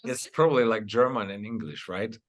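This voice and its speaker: A man's voice: fairly low pitch, a little fast. A man interviewing casually in simple English, often repeating and rephrasing his words.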